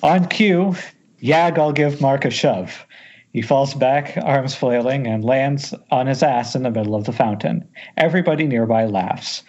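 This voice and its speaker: A man's voice: mid-range voice, a little slow, a little mellow. A man reading scripted stage directions as narrator at an amateur table read. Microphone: Skype call. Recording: dull lo-fi internet call.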